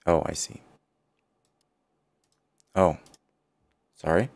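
Low gravelly man with a strained voice. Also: fairly even intonation, extremely slow, darkish tone. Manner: thinking aloud, self-correcting. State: partly lost voice, low and rough. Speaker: CAD tutorial instructor. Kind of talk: screen-recorded software walkthrough.

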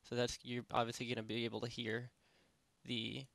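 A man narrating a software tutorial in a casual, unscripted, rambling way, speaking informally with lots of filler phrases. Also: medium pitch, completely flat delivery, normal speed, creaky, no echo. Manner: rambling, informal, repeats "basically" and "you know". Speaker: tutorial presenter. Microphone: close headset microphone.

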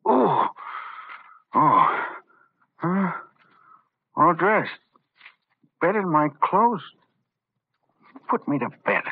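An actor playing an irritable, hungover, reckless man with a halting, blustery manner in an old-time radio drama. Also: on the high side, sing-song, vocal fry, breathy edge, full character voice. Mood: groggy. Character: irritable, hungover, reckless male office worker. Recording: tinny, hissy old radio recording.

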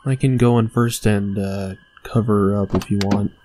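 A young man playing a gentle, concerned friend in a comic dub, speaking in a hesitant, earnest way. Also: on the low side, fairly even intonation, moderate pace, a little hiss or hum, natural voice. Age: young adult. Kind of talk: animated comic dub acting.

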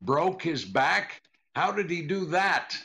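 Gravelly, exasperated old-time comedian husband. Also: male voice, on the high side, sing-song, slow, sharp tone, heavily acted. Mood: puzzled. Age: older. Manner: stammering, repeats questions. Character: exasperated, dry comedian husband, a straight man. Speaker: actor in a radio comedy recreation. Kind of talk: 1940s radio comedy double act.

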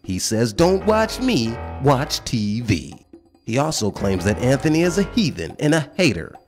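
An actor playing a solemn, mocking announcer narrating a parody court show. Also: male voice, mid-range voice, big pitch swings, measured pace, darkish tone, soft background music. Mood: dry, dramatic.

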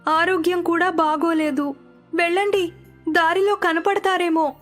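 A woman's voice: very high voice, steady tone, a little slow, fairly bright tone, voice pushed up. A woman voicing an anxious, caring young village daughter-in-law in a respectful, fretting way for a story. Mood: worried. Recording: music under the voice.

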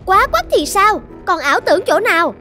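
A woman voicing a haughty, boastful iron jar in an animated fairy tale, talking scornfully. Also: extremely high pitch, normal speed, soft background music, heavily acted. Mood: defiant.

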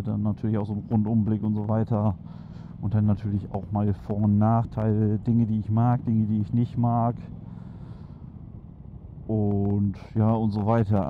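Chatty man riding. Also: fairly low pitch. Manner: chatty, enthusiastic, many fillers. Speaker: motovlog host. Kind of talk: casual chat while riding. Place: on a motorcycle in city traffic outdoors. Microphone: helmet headset. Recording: muffled helmet mic with loud wind and traffic noise. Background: engine, wind and traffic.

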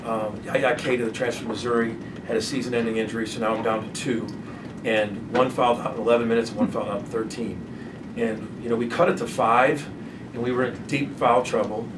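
A middle-aged man sits at a press table answering postgame questions as a basketball coach, halting and restarting as he repeats himself. The desk mic picks him up from a little way off, with a clear room hum behind. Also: medium pitch, fairly even intonation. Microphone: desk microphone from a distance. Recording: distant mic, clear background noise.

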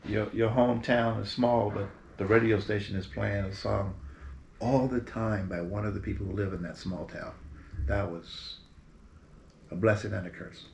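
A man in his fifties from Berkeley, California, a musician guest telling stories from his career in a relaxed, animated way, seated in front of a green screen. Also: on the low side, moderate pace, vocal fry. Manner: relaxed, animated storyteller with lively quoted voices. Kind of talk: casual storytelling interview. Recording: roomy echo with faint background hiss.

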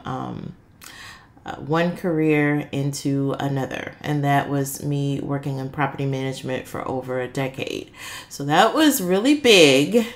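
A middle-aged woman giving a casual, chatty vlog voice-over, candid and friendly as she talks straight to listeners. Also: low voice. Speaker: vlogger.

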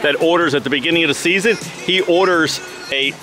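A man's voice: a little high, a little fast, over music. A man chatting casually as a travel vlogger behind the camera, with a jokey, teasing manner. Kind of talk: casual vlog chat. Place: outdoors at a winery patio and park trail. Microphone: handheld camera mic.

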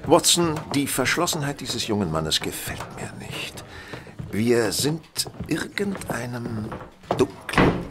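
A brisk commanding gentleman detective, german radio play, really animated, bit of noise behind. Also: mid-range voice. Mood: brooding, determined. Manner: commanding, theatrical, formal address. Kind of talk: German audio drama acting.

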